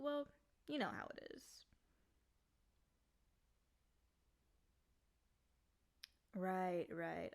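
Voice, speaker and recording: A woman voicing a lonely, clingy female demon in a soft, often whispery voice, coaxing and pleading in an intimate ASMR roleplay. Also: mid-range voice, gravelly, natural voice. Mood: lonely, affectionate.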